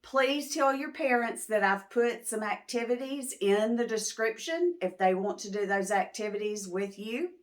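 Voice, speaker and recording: A woman's voice: a little high, lively intonation, normal speed, few pauses. A woman storyteller reading a picture book and talking simply to young children, often posing questions. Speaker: children's storyteller, channel host. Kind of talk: picture-book read-aloud, talking to preschoolers.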